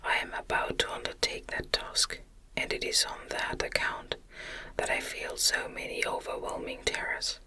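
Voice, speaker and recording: A woman's voice: normal speed, bright, forceful tone, lightly acted. A woman voicing a lonely, anguished male monster, pleading and eloquent, in a whispered ASMR audiobook reading. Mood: anxious, tender. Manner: formal, earnest, literary phrasing.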